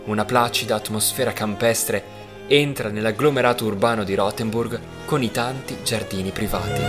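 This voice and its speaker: A man presenting a travel documentary, speaking in a measured way with some hesitations. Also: medium pitch. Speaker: travel reporter. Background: music.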